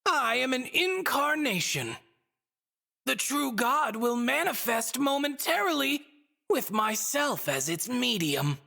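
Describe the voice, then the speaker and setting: A woman's voice: sits high, big pitch swings, takes it slowly, creaky, sharp tone, heavily acted. A woman playing a smug, theatrical stuffed-bunny god in a video game, speaking in mocking, riddling, ornate phrases. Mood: solemn, teasing.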